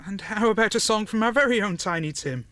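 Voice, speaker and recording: A man's voice: high-pitched, fast. An actor playing a mild, devoted Victorian clerk and father in a radio play. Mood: mildly reproving. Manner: gentle, mild. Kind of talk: radio drama acting.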